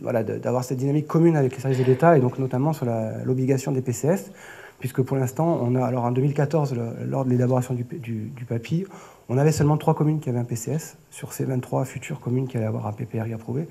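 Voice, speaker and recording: Mellow, hesitant French man presenting. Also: medium pitch, fast talker, vocal fry, husky. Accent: French from France. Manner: hesitant, often repeating and rephrasing words. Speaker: flood prevention project manager, conference speaker. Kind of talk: conference presentation, semi-improvised. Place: auditorium stage at a lectern. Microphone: lectern gooseneck microphone.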